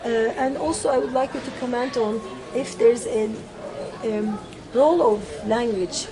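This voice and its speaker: A woman interviewing teachers, asking long semi-formal questions with many pauses and restarts. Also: fairly high pitch, rough recording. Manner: hesitant, full of fillers and restarts. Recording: loud crowd chatter behind. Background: chatter of many people.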